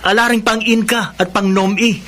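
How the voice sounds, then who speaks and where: Glum young buddy, high-pitched, slangy, grumbling, radio drama, slight noise. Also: male voice, steady tone, normal speed, bright, forceful tone. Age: young adult. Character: disgruntled young working-class buddy who lost his job. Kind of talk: Tagalog radio drama acting.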